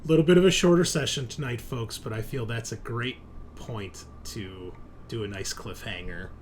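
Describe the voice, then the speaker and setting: A man hosting as game master, improvising narration and chat in a deliberate, suspenseful storyteller's way. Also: mid-range voice, noisy background.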